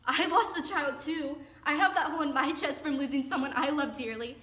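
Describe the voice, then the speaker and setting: Woman playing a grieving mother, high, echoey. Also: a little fast, a bit bright. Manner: anguished, solemn monologue. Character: grieving mother of a school shooter. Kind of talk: readers theater stage acting. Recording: echoey room, distant mic, faint noise.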